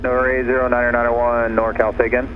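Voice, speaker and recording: A man issues clipped controller instructions in a medium-pitched voice. It arrives over a lo-fi aircraft radio. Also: monotone, fast. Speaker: air traffic controller. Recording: dull aircraft radio with clear engine noise.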